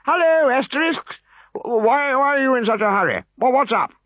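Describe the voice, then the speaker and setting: A short-tempered storybook village chief shouts his orders in a high, ringing voice that leaps up and down. He is bossy and easily worked up. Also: expressive, moderate pace, no echo, dull audio. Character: bossy, excitable male Gaulish village chief.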